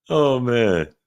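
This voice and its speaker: A man's voice: mid-range voice, muffled recording. A man with a light Southern American accent, casually reading out viewer questions and answering them on a live stream. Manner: laid-back, reads out chat questions and answers them.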